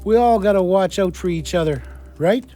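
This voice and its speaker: A man's voice: high-pitched, normal speed, mellow, faint music behind, lightly acted. A man acting in an audio play as an encouraging, supportive friend with a reassuring, colloquial manner.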